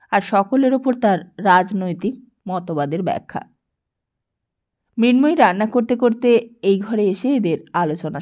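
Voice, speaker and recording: A woman reading a literary story aloud as an expressive audiobook narrator. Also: mid-range voice. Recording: dull lo-fi sound.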